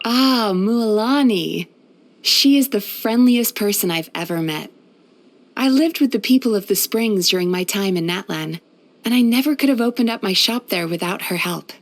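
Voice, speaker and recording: A woman playing a gentle, composed yokai therapist in a video game, soft-spoken and measured. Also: mid-range voice, lively intonation, close to an everyday voice. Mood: warm, amused. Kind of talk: video game character voice lines.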